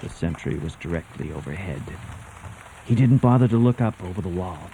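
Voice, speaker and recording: An actor playing a grave storyteller, narrating a suspense tale for radio drama in a theatrical, deliberate manner. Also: male voice, low-pitched, lively intonation, warm tone, a little hiss or hum, poor audio. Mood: tense, dramatic. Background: music.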